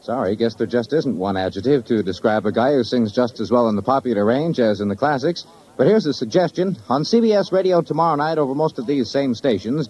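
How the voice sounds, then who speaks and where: A man's voice: medium pitch, darkish tone. A man announcing in scripted 1950s network radio style. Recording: dull old radio broadcast, faint music.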